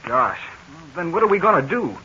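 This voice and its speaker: An eager young sidekick, earnest and anxious, his voice bright and clear. It plays on a dull old radio transcription with a faint hiss under it. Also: a little high, big pitch swings, moderate pace. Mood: worried. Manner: earnest, worried, boyish exclamations. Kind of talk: 1940s radio acting.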